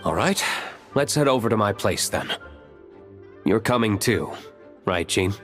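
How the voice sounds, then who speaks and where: A man playing a suave, composed gentleman in a video game, with measured, polished and wry delivery. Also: fairly low pitch, expressive. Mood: genial, inviting. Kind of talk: video game cutscene acting. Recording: long hall reverb, music behind.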